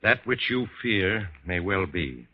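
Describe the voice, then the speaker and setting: An actor playing a grave, learned doctor of the supernatural, solemn and portentous, in a 1950s radio horror drama. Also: male voice, deep voice, expressive, slow, a little hiss or hum. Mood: grave, ominous. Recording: dull, lo-fi vintage radio recording.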